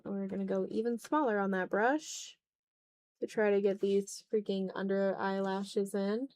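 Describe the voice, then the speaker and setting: A woman's voice: mid-range voice, steady tone, unhurried, a little mellow, a little hiss or hum. A woman chatting casually while she draws on a livestream, rambling in a self-deprecating way. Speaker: art livestreamer. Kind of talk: casual chat while drawing on stream.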